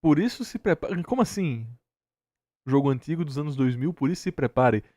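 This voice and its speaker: Animated Brazilian man, higher-pitched, argumentative. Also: fairly quick. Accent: Brazilian Portuguese. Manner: exasperated, argumentative, emphatic repetition. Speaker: streamer reacting to a video. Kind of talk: casual opinionated reaction commentary.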